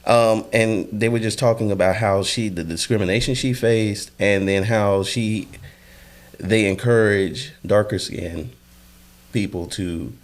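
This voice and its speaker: Male podcast host, low voice, loose chatty delivery with lively outbursts. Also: measured pace. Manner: animated storyteller, many fillers, bursts into loud acted quotes. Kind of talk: casual podcast interview.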